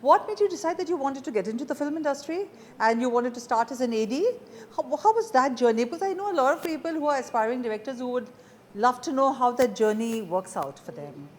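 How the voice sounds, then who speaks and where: A woman's voice: sits high, lively intonation, fast talker. A woman with an Indian accent hosting a conversational interview with informal, direct questions. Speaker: interview host.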